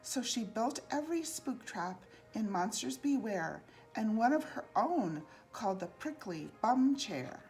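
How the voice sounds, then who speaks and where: A woman with a fairly high voice reads a rhyming picture book to children. Her delivery is expressive and singsong, with big swoops in pitch and an unhurried pace. Music plays along with the reading. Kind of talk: read-aloud children's storytelling in rhyme.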